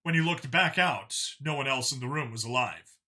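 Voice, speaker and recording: A man's voice: medium pitch, moderate pace, sharp tone. A man narrating a science fiction audiobook in a dramatic, scripted storytelling style.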